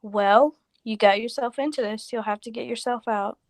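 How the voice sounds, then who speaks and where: A woman's voice: fairly high pitch, normal speed, no echo, clean studio sound, lightly acted. A woman acting a blunt, practical friend of thirty-three in a 1940s style radio play, incredulous and matter-of-fact. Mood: practical, resigned.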